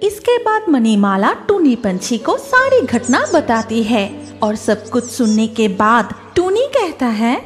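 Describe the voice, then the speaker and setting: A woman's voice: on the high side, sing-song, takes it slowly, hardly any pauses, soft background music. A woman narrating a children's cartoon story from a script.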